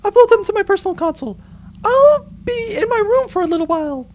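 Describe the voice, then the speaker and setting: A woman's voice: very high voice, expressive, fast talker. A woman playing an eager, cheeky crew member of a spaceship in a science fiction comedy audio drama. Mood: eager, curious.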